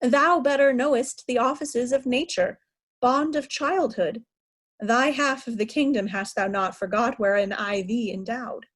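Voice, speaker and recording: A woman playing a proud aging king, raging at ungrateful daughters in a declamatory Shakespearean style. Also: on the high side. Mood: pleading. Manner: declamatory, deliberate, anguished. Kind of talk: Shakespearean reader's theatre acting.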